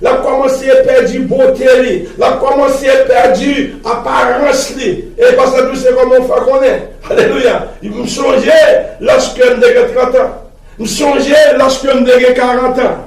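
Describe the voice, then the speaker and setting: A Haitian man delivers a fervent sermon in a very high-pitched voice. He is emphatic and repeats his phrases for weight, breaking in with exclamations of praise. The sound is echoey and distant from the mic, in a room with people. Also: steady tone, measured pace. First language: Haitian Creole. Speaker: preacher. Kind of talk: fervent sermon mixing Creole and French.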